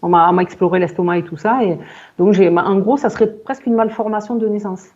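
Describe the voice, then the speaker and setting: A woman in her forties giving a halting personal account in conversation, searching for words and often repeating herself. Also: medium pitch, fairly even intonation, a little mellow, lo-fi sound. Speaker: client in a hypnosis session interview.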